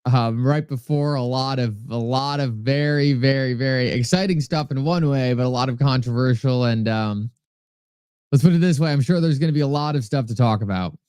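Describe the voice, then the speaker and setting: Casual male livestream host with a New England accent, smooth clean voice, dry close sound, friendly chat-reading delivery. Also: mid-range voice, moderate pace, darkish tone, dry recording. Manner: chatty, reads viewer comments aloud and thanks them by name.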